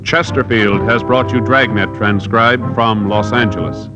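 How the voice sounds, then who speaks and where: A man's voice: lowish voice, steady tone, measured pace. An American man announcing a 1950s radio program in a formal, authoritative scripted style. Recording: muffled old radio broadcast recording. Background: loud brass music.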